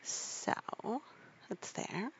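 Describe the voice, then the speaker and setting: A woman reading aloud in a drowsy, soft-spoken, rambling bedtime style, with casual asides. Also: drawn-out words, muffled recording, a little hiss or hum.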